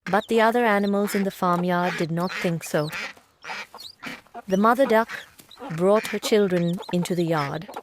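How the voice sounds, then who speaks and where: A woman's voice: lowish voice, normal speed, background noise. A woman storyteller reading a scripted children's tale.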